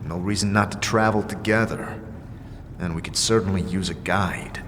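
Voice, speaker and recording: An actor playing a calm, guarded wandering adventurer in a fantasy audio drama, speaking in measured, terse phrases. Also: male voice, low voice, long reverb tail, a little hiss or hum. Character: calm, guarded tattooed male wanderer, leading his party.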